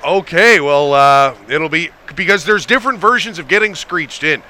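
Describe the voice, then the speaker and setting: Male podcast host, high bright voice, upbeat interjections, faint background noise. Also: moderate pace. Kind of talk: podcast interview reading listener questions.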